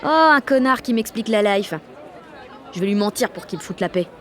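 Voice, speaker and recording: A woman's voice: mid-range voice, expressive, fairly quick, a faint crowd, lightly acted. An actress playing a sullen sixteen-year-old French village girl, narrating an audio drama in blunt, sardonic slang full of swearing. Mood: scornful. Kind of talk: first-person audio fiction narration in teen slang.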